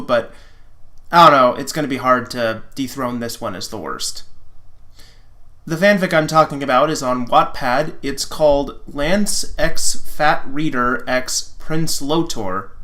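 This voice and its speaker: A man's voice: mid-range voice, pushed and bright. A man with a wry, self-mocking manner, reading fan fiction aloud as host with informal commentary and dramatic narration.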